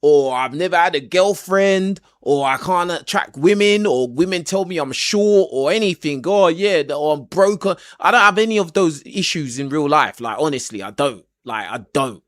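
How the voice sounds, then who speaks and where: A man's voice: fairly high pitch, normal speed, few pauses, a bit bright, no echo, clean studio sound. A man hosting a livestream debate, cutting in and repeating himself as he talks over his callers. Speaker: livestream host. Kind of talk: casual debate chat.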